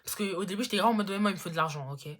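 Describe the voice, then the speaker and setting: A woman's voice: medium pitch, racing, fairly bright tone. A teenage girl in a casual Q&A vlog, chatty and slangy, full of fillers. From Congo-Brazzaville. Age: teens. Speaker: YouTuber.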